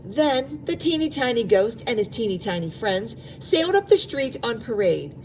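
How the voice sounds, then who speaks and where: A woman reading a storybook aloud to young children in an expressive, animated way, stretching out sound words and adding asides to the listeners. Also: on the high side, normal speed, a little hiss or hum, rough recording.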